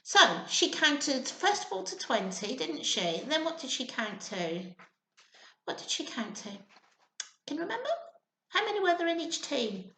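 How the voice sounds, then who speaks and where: A woman reading a children's story in an expressive, sing-song, encouraging way, pausing to put questions to her young listeners. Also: sits high. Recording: echoey room sound.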